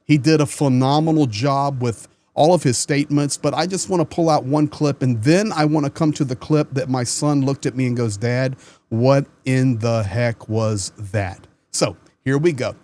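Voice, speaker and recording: A man's voice: mid-range voice, normal speed, dark tone. A man hosting his own channel, giving casual news commentary in an earnest, conversational way and repeating words as he starts a sentence. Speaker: channel host.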